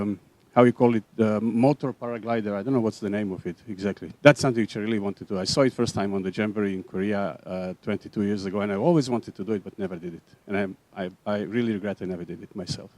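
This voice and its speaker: A man's voice: lowish voice, fast, a little mellow, no room sound. A man from Serbia leading a workshop session, talking in a hesitant way with many fillers. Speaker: workshop facilitator.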